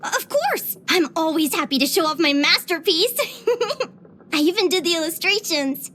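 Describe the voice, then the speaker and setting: A woman voicing a bubbly, curious and sweet young female adventurer in a video game, with an expressive, chirpy delivery. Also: very high-pitched, unhurried, slight background noise, exaggerated voice. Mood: cheerful, proud. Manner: expressive, chirpy, with sighs and giggles. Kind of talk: scripted video game character voice lines.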